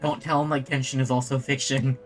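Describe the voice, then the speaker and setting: A man chats informally through a game stream. His voice sits at a middle pitch as he reads dialogue and thinks aloud, with faint music behind. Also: flat delivery, a little fast. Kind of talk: casual game stream, reading dialogue and commenting.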